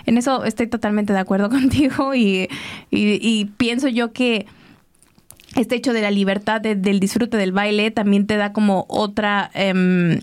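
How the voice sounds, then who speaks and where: A woman hosting a conversational radio interview in an enthusiastic, affirming manner. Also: mid-range voice.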